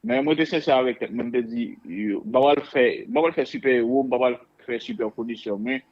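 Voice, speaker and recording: A man with a Haitian accent speaking conversationally as an interview guest, telling stories in an animated, repetitive way. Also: mid-range voice, a little fast, lo-fi sound. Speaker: interview guest, air traffic controller.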